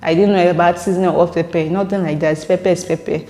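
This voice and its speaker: A woman's voice: on the low side, fairly even intonation, quick pace, dark tone, faint music behind. A young Nigerian woman vlogging casually, reading viewer comments aloud and explaining herself at length, laughing often. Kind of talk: casual chat to camera. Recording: echoey room with long reverb.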